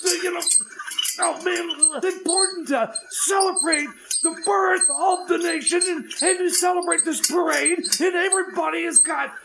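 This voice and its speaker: A man acts out a stubborn, flustered mechanic for radio comedy. The voice is very high and gravelly, halting and stammering as it rambles on in patriotic grumbles. There is clear noise on the recording. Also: fairly even intonation. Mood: indignant, preachy. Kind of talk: improvised comedy radio sketch.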